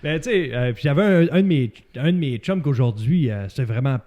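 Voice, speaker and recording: A man with a Québécois accent hosting a casual comedy show, telling stories in an animated way with frequent laughter. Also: mid-range voice, a little fast, dull audio. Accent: Québécois French. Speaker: host. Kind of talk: casual comedy podcast chat.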